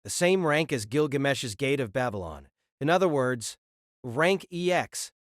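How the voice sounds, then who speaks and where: Male subordinate played by a man, audio drama, grave report, mid pitch, dry sound. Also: sing-song, a little slow, dry recording. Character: formal male subordinate reporting over communicator. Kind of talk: scripted audio drama dialogue.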